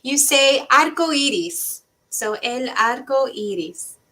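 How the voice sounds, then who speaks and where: Woman, high and bright voice, librarian sidekick for kids' read-aloud, keen and agreeable in conversation. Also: animated, slow. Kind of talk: children's storytime chat.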